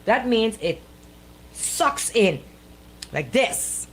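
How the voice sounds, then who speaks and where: Lively woman on radio talk, emphatic n opinionated, pitch all over, repeats herself, bit of background noise. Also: extremely slow. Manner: emphatic, repeats phrases, colloquial dialect phrasing. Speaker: radio talk show co-host. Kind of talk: casual opinionated radio banter.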